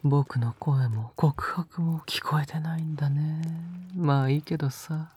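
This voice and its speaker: An actor in an intimate Japanese audio drama, playing a possessive, obsessively doting young male lover who murmurs tenderly and clingily in a breathy, often whispered voice. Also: mid-range voice, fairly even intonation, measured pace, a little mellow, a little hiss or hum, natural voice. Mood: obsessive tenderness.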